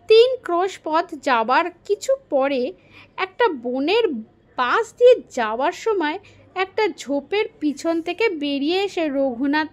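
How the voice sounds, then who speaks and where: A woman narrating a story aloud in an expressive, dramatic and deliberate storytelling style. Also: high-pitched.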